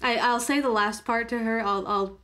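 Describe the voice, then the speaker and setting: A woman's voice: a little high, fairly even intonation, normal speed, fairly bright tone. A woman chatting casually as a player in a tabletop role-play podcast, improvising her character's actions.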